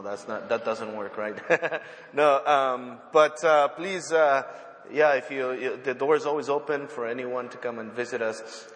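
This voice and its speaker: A man whose first language is not English, preaching as a visiting missionary in a church, halting with many fillers and restarts and joking at his own expense. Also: medium pitch, a bit bright. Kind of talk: sermon. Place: church sanctuary. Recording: church hall reverb.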